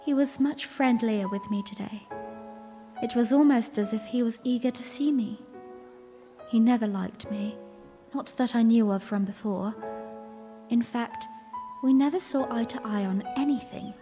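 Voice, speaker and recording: A woman's voice: on the high side, a little slow, long pauses, big-room sound, music in the background, natural voice. A woman playing a young princess, narrating her memory in a dramatic first-person audio drama style. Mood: puzzled, storytelling.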